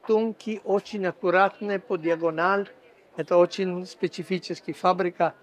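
Halting man guiding a furniture stand tour. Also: high-pitched. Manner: halting, ungrammatical Russian with restarts. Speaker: furniture showroom guide. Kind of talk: casual walking tour explanation. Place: busy furniture fair stand, indoors.

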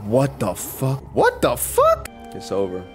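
A man's voice: mid-range voice, huge pitch swings, a little slow, soft background music. A young man giving casual, profane gameplay commentary, slangy and exclamatory. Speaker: gaming streamer. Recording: voice over loud game audio.

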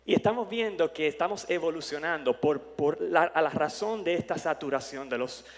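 A man's voice: fairly high pitch, moderate pace. A middle-aged man born in New York with Dominican parents, giving a jokey, playful keynote talk on a conference stage through a headset mic. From born in New York, parents from the Dominican Republic. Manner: playful, jokey, self-promoting. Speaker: conference speaker. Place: large conference hall stage. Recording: long hall reverb.

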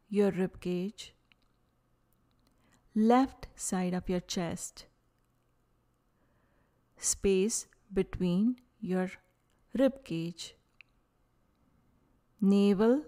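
Woman, meditation guide, extremely slow pace, soft mellow tone, calm, short phrases, long pauses. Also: mid-range voice. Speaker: yoga nidra guide.

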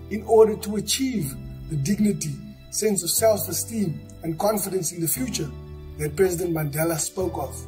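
A man giving earnest, steady scripted narration for a church video, recorded on a studio set. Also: sits high. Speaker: church member presenting.

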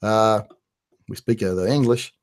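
A man's voice: fairly low pitch, unhurried. A man in his forties from West Virginia, hosting a live-stream Q&A and answering viewer questions in a loose, rambling way with lots of "uh" fillers, recorded on a studio mic in a small home studio. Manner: rambling and conversational, with frequent "uh" fillers. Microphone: large studio mic on a boom, headphones.

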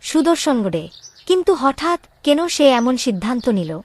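A woman's voice: high voice, very animated, normal speed, a little mellow, no room sound. A woman playing a caring, anxious young royal woman in a cartoon drama, speaking earnestly and expressively. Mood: worried. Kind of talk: dramatic Bengali cartoon voice acting.

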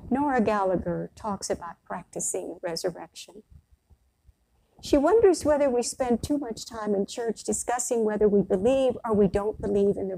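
The voice of an older woman you would hear from a small church pulpit on a Sunday, mellow and deliberate, over faint noise. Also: medium pitch, gravelly, low-quality recording. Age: older, around 60s. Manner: measured, deliberate phrasing with pauses. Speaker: priest. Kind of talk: sermon, read from a prepared text.

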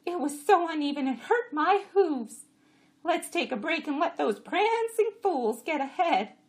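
Storybook jenny donkey: very high, bossy, braying, exasperated, swooping delivery. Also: female voice, sing-song, unhurried. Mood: exasperated complaining. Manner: braying, commanding, complaining. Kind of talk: audiobook character voice.

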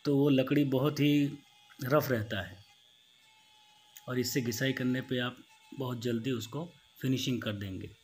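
A man in his thirties, a wood polisher, explaining his work step by step in a plain, practical way, with small restarts. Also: mid-range voice. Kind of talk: how-to voice-over.

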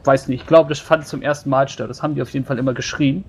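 A man playing a plain-spoken, grumbling dwarf warrior in a tabletop roleplay, speaking haltingly and matter-of-factly. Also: medium pitch, fairly even intonation, close to an everyday voice. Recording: faint background noise.

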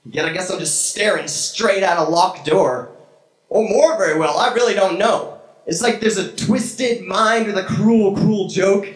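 A young man performing spoken word poetry on a small church stage with a handheld mic, dramatic and swinging from hushed to shouted. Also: sits high. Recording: echoey room from a far camera.

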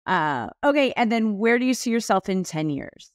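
A woman in her fifties hosts a podcast, asking her questions in an encouraging, affirming way. Her voice is mid-pitched, and it is recorded close and dry on a video call from a small room. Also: big pitch swings, normal speed, no room sound. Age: 50s. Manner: encouraging, brief affirmations while a guest speaks. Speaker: podcast host. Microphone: video call with a studio microphone.

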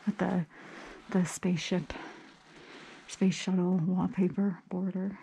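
A woman's voice: lowish voice, level tone, slow, creaky, warm tone, a little hiss or hum, poor audio. A woman touring an old house at an auction, chatting to her camera as a vintage paper reseller, gushing over finds and sometimes whispering. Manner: chatty, gushing exclamations, some whispered reading. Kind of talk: casual walk-through vlog. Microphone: body-worn action camera.

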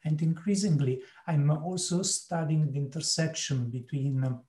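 A man with a Sicilian Italian accent giving a keynote lecture over a video call, speaking in an unhurried, halting way with many fillers. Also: fairly high pitch, dark tone, echoey room. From Italy, Sicily. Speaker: keynote speaker, marketing professor.

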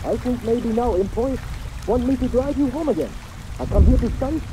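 A man playing a deferential, excitable houseboy in an old radio drama, speaking polite, eager broken English. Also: very high voice, fairly quick, background noise. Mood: apologetic, anxious. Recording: old radio broadcast under loud rain and water.

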